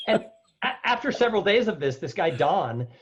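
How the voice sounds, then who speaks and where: A man guest on a podcast interview, a loud, animated storyteller who acts out the voices of people he quotes. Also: high-pitched, fairly quick, husky, highs cut off. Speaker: guest, keynote speaker.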